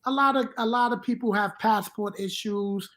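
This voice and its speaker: A man's voice: high voice, normal speed, a bit bright. A man hosting a travel podcast, asking a guest conversational questions. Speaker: podcast host. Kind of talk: podcast interview.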